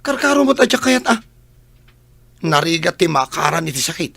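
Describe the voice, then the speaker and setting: Argumentative kid brother for village radio drama, played by a man: raspy, piercing, high-pitched, Ilocano accent. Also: very animated, normal speed, bright, forceful tone, dry recording, exaggerated voice. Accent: Filipino, Ilocano. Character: cheeky, argumentative younger brother.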